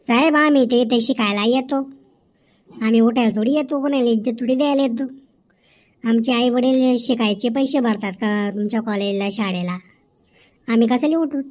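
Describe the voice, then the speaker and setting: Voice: medium pitch, normal speed, a little mellow, close to an everyday voice. A woman voicing a mischievous, argumentative village schoolboy in a comedy cartoon, with a Khandeshi Ahirani accent. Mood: cheeky. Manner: cocky, teasing, argumentative. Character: cheeky, mischievous village schoolboy who talks back to teacher.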